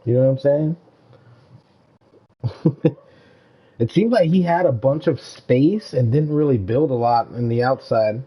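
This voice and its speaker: A man's voice: mid-range voice, measured pace, pauses often, slightly rough. A young man giving casual, slangy live commentary as he streams a video game. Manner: slangy, informal. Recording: slightly dull stream audio. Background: game music.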